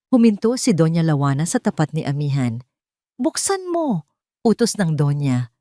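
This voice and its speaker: A woman narrating a dramatic story in a scripted storytelling style, slowing down and lifting her voice for tense moments. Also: deep voice, a little mellow, dry recording, studio quality.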